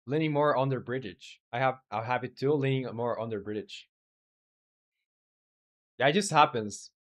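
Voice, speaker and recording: A man speaking at a mid pitch, and his first language is Spanish. He talks casually on a live coding stream, working things out aloud in halting bursts full of hesitations. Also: a bit bright. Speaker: game developer streaming live.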